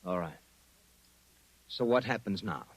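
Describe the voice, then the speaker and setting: An actor with an American accent in a 1950s radio drama, playing a blunt, rattled private investigator who talks in slang and stammers under pressure. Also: male voice, sits low, animated, a little slow, breathy edge, heavily acted, low-quality recording. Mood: wary. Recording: vintage 1950s radio recording.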